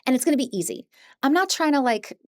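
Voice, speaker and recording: A woman's voice: high voice, big pitch swings, fast, no echo. Chatty, encouraging woman, close dry recording. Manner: chatty, encouraging, informal and self-deprecating. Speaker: audiobook narrator teaching an introductory course. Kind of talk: casual, conversational course presentation.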